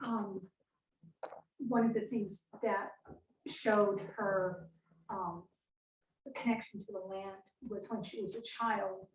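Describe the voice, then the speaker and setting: A woman from a historical society adding remarks during a Q&A. She speaks haltingly with many "um" fillers. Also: medium pitch, fairly even intonation. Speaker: historical society member commenting. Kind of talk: informal remarks in a Q&A. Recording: distant, roomy, faint background noise.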